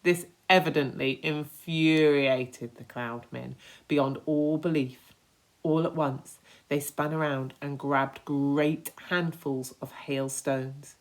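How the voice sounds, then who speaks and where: A woman reading a children's story aloud with expressive, dramatic emphasis. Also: low voice, takes it slowly.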